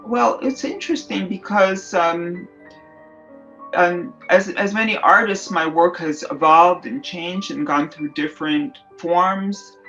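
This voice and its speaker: A middle-aged woman artist answering interview questions thoughtfully, pausing often and searching for words. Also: on the low side, fairly bright tone, highs cut off, faint music behind. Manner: thoughtful, searching for words with many fillers and restarts. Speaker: artist and illustrator guest.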